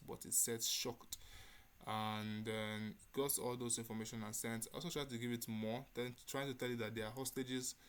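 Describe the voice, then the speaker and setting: A man's voice: mid-range voice, fairly bright tone. A man giving a spoken demo presentation as a developer showing his project.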